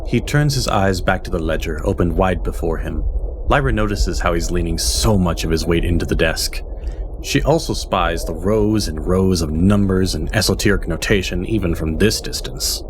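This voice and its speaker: A man narrating a scripted fantasy audio drama as storyteller, in a measured, descriptive way. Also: low voice, noisy background. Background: music.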